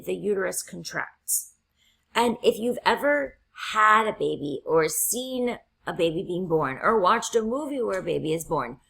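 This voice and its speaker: A woman instructor walks her students through a lecture step by step, often checking in with "right?". Her voice is husky and gravelly, with the fry of someone losing her voice. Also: medium pitch, a bit bright. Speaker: college instructor. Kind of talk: classroom lecture.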